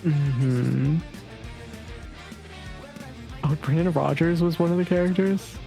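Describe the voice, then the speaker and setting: Woman streamer, mellow creaky voice, casual livestream talk, faint music behind. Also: low voice, slow. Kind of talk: casual chat and reading game text aloud on a livestream.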